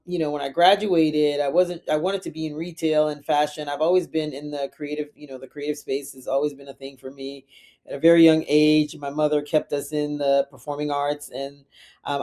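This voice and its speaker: A woman's voice: sits low, mellow. A middle-aged woman from Liberia and New York City answering interview questions conversationally, emphatically, often checking in with "right?". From Liberia and the United States, raised in New York City. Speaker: podcast guest, community executive.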